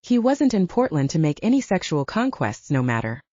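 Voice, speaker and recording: Woman narrating fiction with animated pitch. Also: moderate pace, no room sound, highs cut off. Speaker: audiobook narrator.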